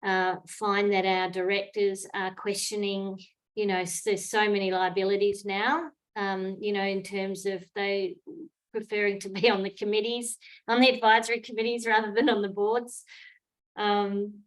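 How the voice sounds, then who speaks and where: A woman's voice: medium pitch, level tone, moderate pace, low-quality recording. An Australian woman hosting an online Q&A, speaking reflectively with many fillers. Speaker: host, moderator. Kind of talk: online webinar Q&A. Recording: online call audio.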